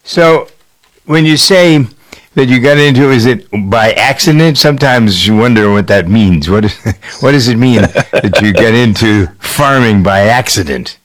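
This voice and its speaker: A man's voice: mid-range voice, moderate pace. A man hosting a radio farm program, interviewing a guest in a conversational, probing way. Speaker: radio show host.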